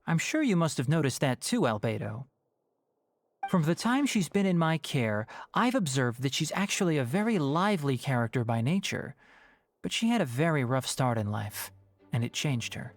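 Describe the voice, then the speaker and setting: A man voicing a sharp young male scholar in a video game, measured and dryly sarcastic. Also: a little high, very animated. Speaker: scholar and guardian. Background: game music.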